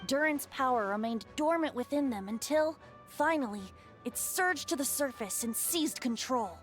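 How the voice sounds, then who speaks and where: A woman's voice: sits high, expressive, moderate pace. A woman voicing a playful, theatrical young male bard in a video game. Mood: explaining. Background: game music.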